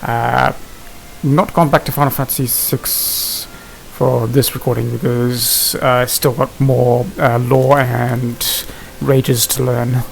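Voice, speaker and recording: A man giving casual gameplay commentary, thinking aloud in a halting mutter. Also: medium pitch, level tone, vocal fry, low-quality recording. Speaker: gaming video host. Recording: hissy home recording, background noise.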